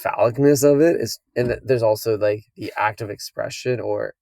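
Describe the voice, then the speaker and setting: A young man co-hosting a podcast, hoarse and croaky from losing his voice, chatting casually and joking. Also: on the low side, moderate pace, a little mellow, no echo, clean studio sound. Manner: joking, laid-back, laughs often. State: hoarse, lost voice. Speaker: podcast co-host. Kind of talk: casual chat.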